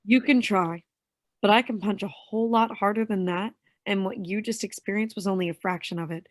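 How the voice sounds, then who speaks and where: A woman's voice: medium pitch, brisk, no echo, clean studio sound, lightly acted. An actress playing a tough, protective woman vigilante in a staged play reading. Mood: firm, defiant.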